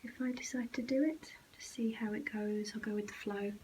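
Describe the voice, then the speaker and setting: Soft-spoken woman, often near a whisper. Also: medium pitch, fairly even intonation, a little fast, a little hiss or hum, low-quality recording. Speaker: ASMR vlogger and host. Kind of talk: casual behind-the-scenes vlog narration.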